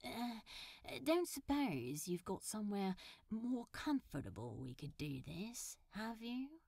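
Flirtatious superhero man, voiced by a woman, cocky, casual drawl, slow pace, dry close sound. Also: medium pitch, big pitch swings, vocal fry, a little mellow, husky, no echo, exaggerated voice. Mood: flirty drawl. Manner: drawling, casual, hesitant asides. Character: flirtatious, cocky male superhero, teasing his villain lover.

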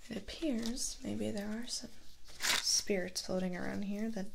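A young woman playing a weary, grumbling vampire's assistant in a hushed ASMR roleplay, muttering softly as she goes. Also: mid-range voice, fairly even intonation, gravelly, heavy background noise. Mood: muttering, mildly annoyed. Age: young adult. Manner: hushed, slow, muttering asides. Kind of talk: ASMR roleplay, soft-spoken and whispered. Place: small dark indoor set with cloth backdrop.

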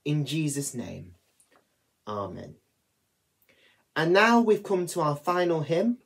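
A young man reading prayers aloud in measured, deliberate phrases as a worship leader. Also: fairly high pitch, expressive, gravelly. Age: young adult. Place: small attic room at home.

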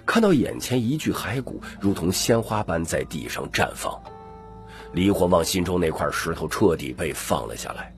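Deep-voiced, expressive male audiobook narrator. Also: normal speed. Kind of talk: dramatic scripted story narration. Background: background music.

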